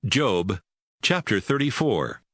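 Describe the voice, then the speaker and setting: A man narrates scripture from a script in a fairly low voice. His intonation moves widely, and the sound is dry and close, with no room to it. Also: sing-song, slow pace, a bit bright, husky, dry recording.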